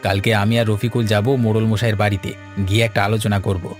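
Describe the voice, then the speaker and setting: A man voicing an earnest adult villager in a Bengali folk-tale cartoon, speaking deliberately. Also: fairly low pitch, level tone, faint music behind, natural voice. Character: earnest village fisherman and his worried neighbours, adult men.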